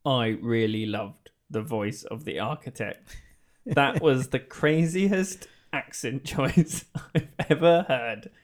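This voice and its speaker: A man's voice: mid-range voice, slow pace. A man hosting a casual review discussion, talking in a playful, emphatic, bantering way. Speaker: podcast host.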